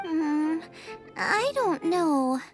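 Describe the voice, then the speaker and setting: A woman playing an excitable, stubborn teenage girl chef in a video game, with emphatic delivery. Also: very high voice, drawn-out words, over music, heavily acted. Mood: modest.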